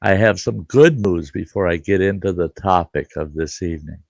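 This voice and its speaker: An older man hosting a casual live stream, hoarse today, talking in a halting, rambling way with many fillers. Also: low voice, darkish tone, no echo. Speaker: livestream host.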